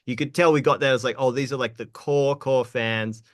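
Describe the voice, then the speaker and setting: A young male musician tells stories in a loose, rambling way, leaning on filler words. His voice sits at a middle pitch. The sound is dry, close to the mic. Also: fairly quick, no echo. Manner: relaxed, rambling, lots of likes. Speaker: guest musician. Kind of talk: casual interview.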